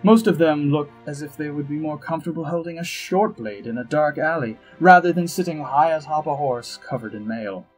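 An actor playing a suave, self-satisfied elf ambassador and spymaster in an audio drama, arch, droll and flowery, with a husky voice. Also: male voice, on the high side, a little mellow, rough recording. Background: music under the voice.

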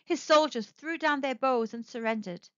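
A woman narrating a children's storybook aloud with expressive, animated storytelling. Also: high voice, moderate pace, clear, resonant tone, no echo. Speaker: storybook reader. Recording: dry, dull lo-fi sound.

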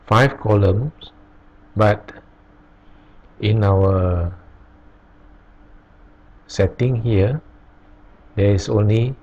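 A man teaching programming over a screen recording, explaining each step slowly with pauses. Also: fairly low pitch, darkish tone, slightly rough. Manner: slow, halting explanation with pauses. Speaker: programming tutor. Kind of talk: screen-recorded coding tutorial. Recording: dull lo-fi recording.

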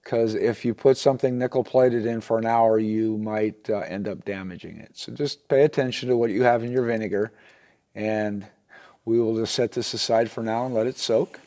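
A man's voice: on the low side, steady tone, moderate pace, warm tone. A middle-aged man talks casually through a repair step by step, in a plain-spoken, folksy way as he works. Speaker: instructor in a how-to repair video. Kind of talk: casual hands-on demonstration talk. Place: small wooden workshop at a workbench, indoors.

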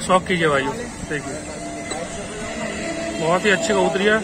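A man with a Western Uttar Pradesh Hindi accent vlogging from an outdoor pigeon market, with brisk promotional patter that keeps urging viewers on. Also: sits high. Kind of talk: walking market vlog, talking to sellers and viewers. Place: busy outdoor street market. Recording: noisy outdoor market crowd. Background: crowd chatter and traffic.

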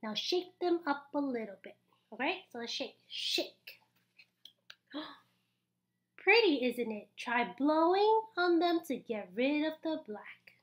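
A young adult woman reading a picture book aloud to small children in an animated, exaggerated, encouraging way, with pauses for them to join in. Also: sits high. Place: small indoor room.

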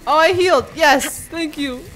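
A woman gamer with a high voice gives casual, blurted commentary while she plays. She swears freely, and game music is clearly heard behind her. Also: unhurried, a bit bright, rough recording. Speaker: game streamer.

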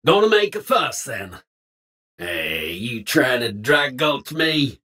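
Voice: mid-range voice, very animated, slow pace, bright, forceful tone, heavily acted. A drawling old-West cowboy. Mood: hostile. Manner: exaggerated frontier drawl with old Western slang. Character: folksy cowboy NPC, feisty and colloquial. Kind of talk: video game character voice lines.

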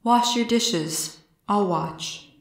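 A woman's voice: medium pitch, extremely slow, creaky, echoey room. Woman voicing a curious, formal male alien cloud. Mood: blunt, curious. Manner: formal, literal, inquisitive phrasing. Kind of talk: storybook character voice.

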